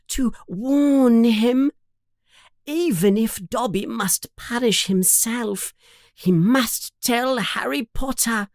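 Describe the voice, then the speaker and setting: A small, frightened male elf servant, played by a woman reading a storybook pleads in a very high, slow voice. He is devoted and dramatic, talking about himself in the third person. The sound is close and dry. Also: a little mellow, no echo. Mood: reverent, pleading.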